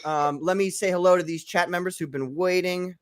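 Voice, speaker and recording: A man's voice: high-pitched, steady tone, brisk, clean tone. A man hosting a casual live-streamed show, chatty and apologetic, laughing often. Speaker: host.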